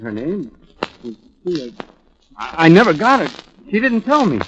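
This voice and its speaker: An American actor playing a naive, anxious young man accused of a crime, halting and plain-spoken in a 1940s radio drama. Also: high-pitched, wildly swooping pitch, fairly bright tone, exaggerated voice. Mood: nervous, defensive. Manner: halting, plain-spoken, stammering under questioning. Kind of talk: 1940s radio drama acting with first-person narration. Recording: dull vintage 1940s radio recording, music behind.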